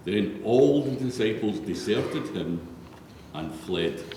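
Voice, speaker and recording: Slow, low-voiced man in an echoey church. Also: animated, mellow. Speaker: narrator. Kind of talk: solemn scripture reading. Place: large reverberant church. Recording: very echoey church, faint noise.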